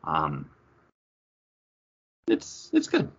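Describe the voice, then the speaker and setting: A man's voice: rumbling low, huge pitch swings, drawn-out words, vocal fry, muffled recording, slight background noise. A man co-hosting a podcast, chatting casually as he reviews drinks, hesitant and correcting himself as he goes. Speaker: podcast co-host. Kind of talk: casual beer review chat.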